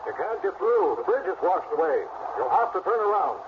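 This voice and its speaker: An actor playing an urgent state trooper giving warnings in a radio drama, on a thin, noisy recording. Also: male voice, sits high, big pitch swings, brisk, narrow, tinny audio.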